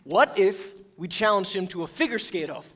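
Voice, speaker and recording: A young man playing a loud, hot-tempered sports coach in a staged reading. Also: high voice, animated, normal speed. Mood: eager. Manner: loud, blustery.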